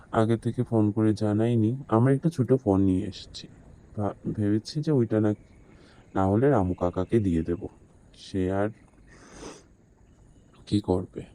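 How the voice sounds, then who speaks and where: A young city man in a horror cartoon, played by a man. He talks casually and conversationally. His voice is fairly low and deeply mellow, with very little brightness. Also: measured pace, long pauses. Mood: puzzled. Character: young city man visiting an eerie village. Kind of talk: horror cartoon story dubbing.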